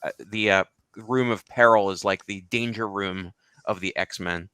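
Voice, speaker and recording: A man chatting casually as a show panelist, halting and meandering but enthusiastic. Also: fairly low pitch, steady tone, sharp tone, clean tone, dry recording. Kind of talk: casual chat, comics commentary.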